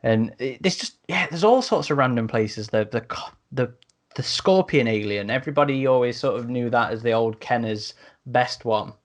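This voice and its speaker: A man in a casual podcast discussion, talking in a halting, piecemeal way. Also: medium pitch. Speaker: podcast panellist.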